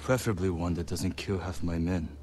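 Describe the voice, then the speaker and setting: An actor playing a cocky, wry leader of a hungry warrior band in a video game, guarded in manner. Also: male voice, deep voice, steady tone, a little fast, darkish tone, faint music behind, lightly acted. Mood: grim, wry. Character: cocky, wry samurai-era warband leader, loyal but hungry. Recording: game audio with ambient noise behind.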